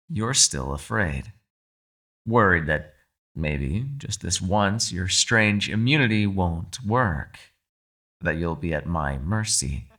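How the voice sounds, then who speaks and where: A man performs a captive fox spirit in an ASMR roleplay, low-pitched and crisply bright. He is sly and mock-polite, taunting with theatrical, widely swooping intonation and quiet menace. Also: exaggerated voice. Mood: menacing, taunting.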